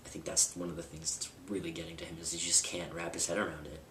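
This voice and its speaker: Young man, very low voice, candid rambling vlogger, roomy distant home-camera sound with noise. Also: level tone, fast talker, bright, forceful tone. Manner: candid, rambling with fillers and restarts. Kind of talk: casual personal vlog. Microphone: camera mic at a distance.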